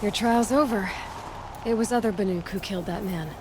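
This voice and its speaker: An actress playing a determined young outlander hunter in a video game, direct and earnest. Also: female voice, mid-range voice, a little fast, noisy background, lightly acted. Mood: firm. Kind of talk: natural video game acting. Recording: music under the voice.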